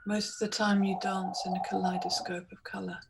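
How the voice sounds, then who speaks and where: A woman narrates a guided meditation at a medium pitch that hardly moves at all. Her phrasing is deliberate and hypnotic, set apart by pauses. Clear ambient noise sits behind her voice. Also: little pitch movement.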